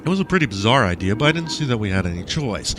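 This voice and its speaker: A man reading first-person fiction aloud as an audiobook narrator, with an expressive, dramatic delivery. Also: lowish voice, very fast, background noise.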